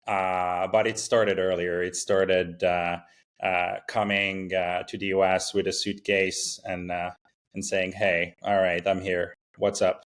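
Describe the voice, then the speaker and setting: A founder from Morocco talking casually in an interview, full of fillers and restarts. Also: male voice, low voice, fairly bright tone. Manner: halting, many fillers and restarts. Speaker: startup founder, guest.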